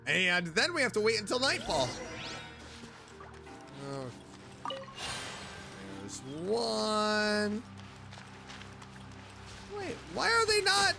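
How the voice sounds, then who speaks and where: A woman's voice: mid-range voice, very animated, very slow, gravelly, long pauses, over music. A woman streaming a video game, commenting casually and reading along as she plays.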